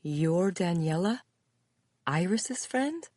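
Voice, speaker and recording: A woman's voice: low voice, unhurried, no room sound, pitched above the performer's own voice. A woman reading an audiobook voices a younger woman who is uneasy, polite and guarded as she reassures and questions others. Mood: incredulous.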